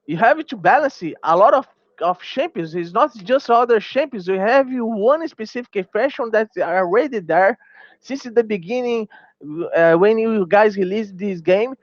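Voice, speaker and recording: A man's voice: high voice, animated, measured pace, dry recording, dull audio. Portuguese-native man, heated gaming rant. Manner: heated, repeats himself, many restarts. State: tired. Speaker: small gaming YouTuber. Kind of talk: opinion vlog commentary.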